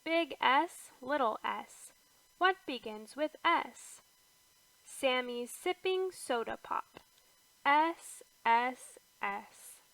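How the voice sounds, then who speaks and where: A woman reads an alphabet picture book aloud for toddlers, her high voice rising on each capital letter and sinking to a near hush on the repeats. She takes everything very slowly, with lots of space between words. The sound is close and dry, with no room around it. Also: expressive, creaky, dry recording, low-quality recording. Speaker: children's book reader. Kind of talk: slow read-aloud for toddlers.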